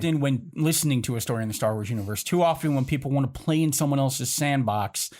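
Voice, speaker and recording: A man's voice: mid-range voice, quick pace, darkish tone. A man speaking casually as a podcast panelist, reflective and explanatory in manner. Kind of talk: casual discussion.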